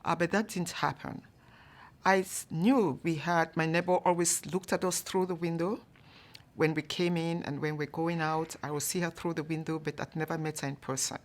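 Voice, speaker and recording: A woman from Cameroon telling a personal story in a calm, measured way, often saying "you know". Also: low-pitched. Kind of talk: storytelling to an audience.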